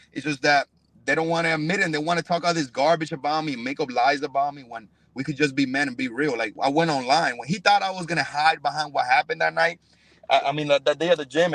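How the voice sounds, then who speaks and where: A man's voice: a little high, a bit bright. A young man from Cuba talking casually as a fighter in an interview, emphatic and profane, with slangy repeated check-ins. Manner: emphatic, slangy, punctuated with "bro" and "you know what I'm saying". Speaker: professional fighter, podcast guest.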